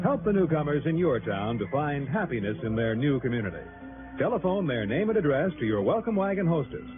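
Casting: male 1960s radio pitchman, higher register, animated scripted read, tinny vintage sound. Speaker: radio advertisement announcer.

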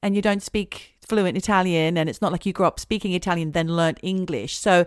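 A woman's voice: on the low side, a little fast, clean tone, no room sound, clean studio sound. A middle-aged woman co-hosting a casual comedy podcast, telling stories in a chatty way. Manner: chatty storyteller, sings snatches of songs. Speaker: podcast co-host.

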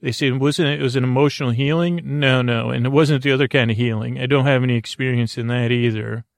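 Creaky, meandering sleep podcast host. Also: male voice, medium pitch, steady tone, fast, no room sound, studio quality. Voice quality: creaky, dulcet, lulling tones. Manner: meandering, tangent-prone, self-interrupting, drowsy and soft. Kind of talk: rambling bedtime podcast intro.

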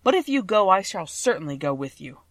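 A woman's voice: medium pitch, big pitch swings, brisk, no room sound, studio quality, lightly acted. A woman voicing a tender-hearted, mournful tin woodman in a storybook, earnest and firm. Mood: loyal.

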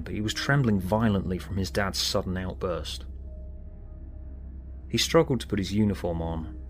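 Low-pitched man narrating over music. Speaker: author and narrator. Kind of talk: scripted story narration.

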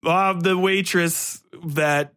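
A man's voice: sits high, fairly even intonation, drawn-out words, fairly bright tone, no room sound, clean studio sound. A man hosting a book podcast, rambling through a casual review in blunt, irreverent, profanity-heavy slang. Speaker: podcast host reviewing books.

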